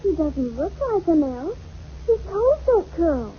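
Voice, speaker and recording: A woman's voice: extremely high pitch, big pitch swings, takes it slowly, exaggerated voice. A woman playing an earnest, imaginative little girl who pretends to be a fairy queen in an old radio comedy. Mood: earnest make-believe. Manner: earnest, matter-of-fact make-believe. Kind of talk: 1940s radio comedy acting. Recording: muffled, noisy old radio recording.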